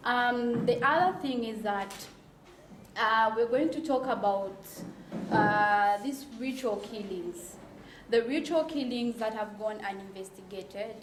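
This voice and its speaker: Woman, raised pitch, emphatic repetitive advocate, unscripted, roomy echo, noisy background. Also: slow. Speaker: advocate for people with albinism. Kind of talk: impromptu speech to news.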